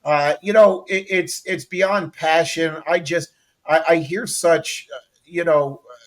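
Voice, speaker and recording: A man's voice: fairly high pitch, steady tone, slow. An American man hosting a casual conversational interview show, effusive and appreciative toward his guest.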